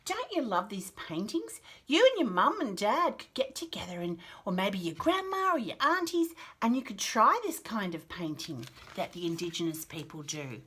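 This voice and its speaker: A woman with an Australian accent reading picture books aloud to toddlers in an animated, sing-song way, with chatty asides and questions to the child. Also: mid-range voice, moderate pace, hardly any pauses, slightly rough. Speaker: children's picture book read-aloud host.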